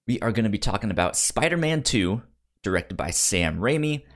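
A man's voice: fairly low pitch, very animated, moderate pace, fairly bright tone. A man hosting a podcast in casual chat about movies, often stammering and repeating words as he gets into a sentence. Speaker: podcast host.

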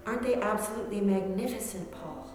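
A woman playing a gentle, wondering dying woman in a radio play, speaking tenderly. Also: mid-range voice, fairly quick, close to an everyday voice. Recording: very echoey hall, music behind.

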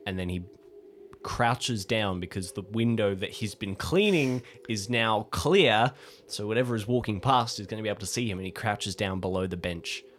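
A man's voice: on the low side, moderate pace, a bit bright, a little hiss or hum. A man playing a tabletop roleplaying game, improvising his character's actions in a conversational but dramatic way.

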